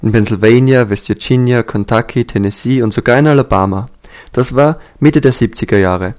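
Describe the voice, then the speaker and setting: A man reading a translated nonfiction book aloud in a calm, measured, earnest way. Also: medium pitch. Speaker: translator and audiobook reader. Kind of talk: scripted audiobook reading.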